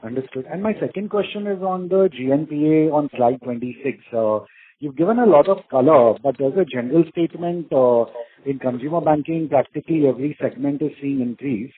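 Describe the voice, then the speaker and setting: A man asking questions as an analyst on an earnings call, pausing often mid-question. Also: a little high, breathy edge. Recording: narrow muffled phone line.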